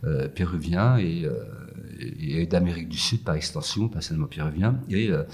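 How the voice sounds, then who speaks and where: Very deep, husky man, hesitant. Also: moderate pace, a little mellow. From France. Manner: hesitant, full of "euh" and restarts. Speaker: guest, food entrepreneur. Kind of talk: casual interview answers. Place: empty yoga room with echo.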